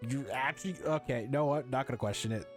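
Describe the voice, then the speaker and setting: A man's voice: mid-range voice, quick pace. A man giving casual, blunt and sarcastic playthrough commentary with swearing, game music underneath. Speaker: gamer reacting to a story quest.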